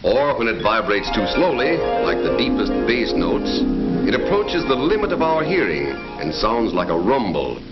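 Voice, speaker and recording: A man's voice: mid-range voice, big pitch swings, moderate pace. A man narrating a 1940s educational film in an emphatic, declamatory announcer style. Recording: dull 1940s film soundtrack with faint music.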